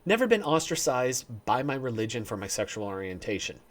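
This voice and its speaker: A man's voice: mid-range voice, big pitch swings, brisk. A man with a Northern US accent reads a quiz aloud in a casual vlog. He reads each statement and then answers it with wry asides. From northern United States. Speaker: vlogger.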